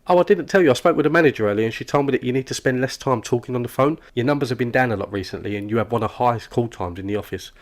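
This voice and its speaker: An actor playing an oblivious, relentlessly chatty office worker in an animated comedy, with a rambling, oversharing manner. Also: male voice, mid-range voice, racing, few pauses, natural voice. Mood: earnest rambling. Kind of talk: comic animated dialogue.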